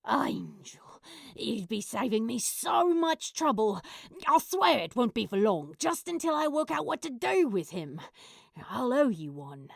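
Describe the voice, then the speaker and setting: A woman's voice: on the high side, sing-song, measured pace, creaky, dry recording, clean studio sound, full character voice. A woman voicing a sardonic, exasperated male demon in an audio story, grumbling as he talks. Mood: awkwardly grateful. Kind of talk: audio fanfiction character acting.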